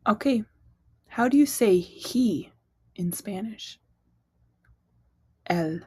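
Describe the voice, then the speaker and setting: A woman's voice: mid-range voice, lively intonation, extremely slow, mellow, husky, no room sound, clean studio sound. A young woman, an English speaker, teaching a casual online Spanish lesson in a chatty way, with fillers and quiz-style prompts.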